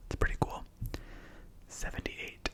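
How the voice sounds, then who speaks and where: Man in a soft whisper. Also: unhurried, rough recording. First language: Spanish. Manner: reads numbered facts with casual chatty asides. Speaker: ASMR host. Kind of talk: ASMR whispered fact reading.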